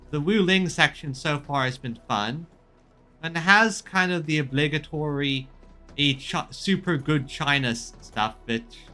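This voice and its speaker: A man streaming a game, chatting casually in a halting way, often repeating and rephrasing himself mid-sentence. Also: a little high, sharp tone. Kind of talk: casual chat commentary on a game. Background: music in the background.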